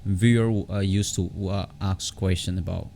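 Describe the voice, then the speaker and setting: A low-pitched man walks through a software tutorial off the cuff. His voice has a gravelly creak, and he halts and repeats phrases as he goes. There is clear background noise on the recording. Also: fairly even intonation. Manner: halting, repetitive, often restarting phrases. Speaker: tutorial host.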